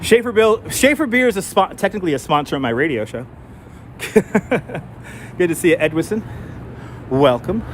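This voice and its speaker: A man with a mild New York accent, his voice sitting a little on the high side, chats with his viewers as he walks outdoors. He thinks aloud and often restarts his sentences. Faint city traffic hums behind his clip-on mic. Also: animated, normal speed, long pauses. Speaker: live stream host. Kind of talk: casual walking live stream, chatting with viewers. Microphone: wireless clip-on mic. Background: faint street traffic.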